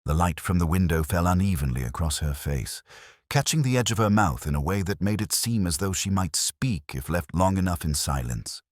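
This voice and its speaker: Male actor as melancholy older detective narrator for radio mystery. Very low, dry close sound, deliberate, with lively melodic movement. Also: no echo. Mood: brooding, reflective. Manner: measured, brooding, deliberate. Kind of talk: scripted literary mystery narration, radio drama style.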